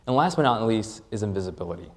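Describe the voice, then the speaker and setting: A man delivers a rehearsed startup pitch in a lower-pitched voice. His delivery is very lively, with wide swings in intonation and deliberate emphasis on key phrases. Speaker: startup presenter. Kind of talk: rehearsed product pitch presentation.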